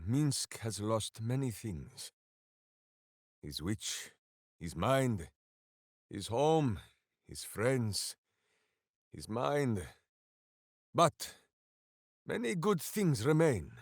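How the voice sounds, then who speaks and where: A boisterous, simple-minded video game ranger with a hero's earnest heart. He declaims theatrically at a slow, weighty pace, and his pitch leaps and plunges dramatically. The male voice is captured very dry and close, with no room around it. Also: sing-song, no room sound, heavily acted. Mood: wistful. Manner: grandiose third-person declamation, earnest and theatrical. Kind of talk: video game character voice lines.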